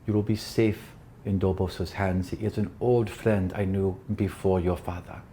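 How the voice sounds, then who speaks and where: A man reads as a loving, worried mother in a tabletop story. The delivery is low, soft and measured, with a solemn tenderness. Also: steady tone, a little hiss or hum. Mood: solemn, tender. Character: worried mother's tender farewell letter, read aloud.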